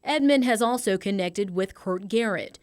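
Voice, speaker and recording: A woman's voice: medium pitch, normal speed, darkish tone, no room sound. A woman reading scripted narration for a television news report in the manner of a field reporter.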